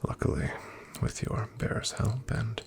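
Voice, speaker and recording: A man in an ASMR roleplay voicing a calm, careful paladin travel companion, softly whispering to the listener. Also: unhurried, slight background noise, lightly acted. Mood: calm hushed. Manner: soft, gentle whispering to the listener.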